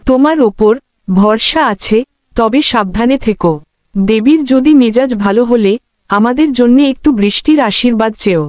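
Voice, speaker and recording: A woman's voice: fairly high pitch, a little slow, a little mellow, dry recording, lightly acted. A woman playing a concerned, supportive village woman in a moral story cartoon.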